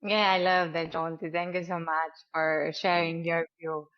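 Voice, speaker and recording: A woman's voice: lowish voice, flat delivery, unhurried, highs cut off. A woman with a Slovene accent hosting a podcast interview, effusive and friendly, with many fillers. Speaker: podcast host.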